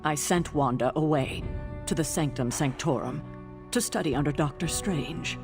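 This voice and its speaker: Stern older guardian woman, music behind. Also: sits low. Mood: somber. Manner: grave, deliberate, authoritative. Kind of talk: video game cutscene acting.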